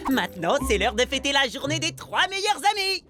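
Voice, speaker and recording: An earnest cartoon schoolboy microbe with a high, lively voice. He is anxious and boyish, a loyal friend who stumbles over his words, with music clearly behind him. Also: fairly quick. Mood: touched, sincere. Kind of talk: French cartoon dub acting.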